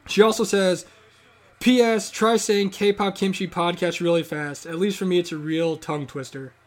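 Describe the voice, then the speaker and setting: Jokey man with a slightly high voice. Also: steady tone, moderate pace. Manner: jokey, playful banter, stumbles over names. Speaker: podcast co-host. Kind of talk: casual podcast chat, reading emails aloud.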